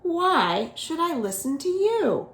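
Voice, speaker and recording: A woman's voice: very high voice, sing-song, takes it slowly, fairly bright tone, pitched above the performer's own voice, heavily acted. A woman voicing an earnest, plucky young male crocodile raised by ducks, wide-eyed and questioning, for a storybook. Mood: indignant, questioning. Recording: roomy echo of a home room.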